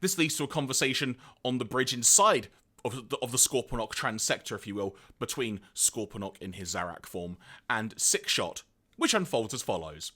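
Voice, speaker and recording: A man co-hosting a podcast in casual chat, his voice slightly hoarse, animated and chatty with big swings in pitch. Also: moderate pace. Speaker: podcast co-host.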